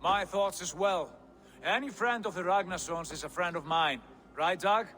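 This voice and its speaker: An actor playing a confident, commanding Viking jarl and proud clan leader in a dramatic video game. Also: male voice, high-pitched, moderate pace, sharp tone, soft background music. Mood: proud, welcoming.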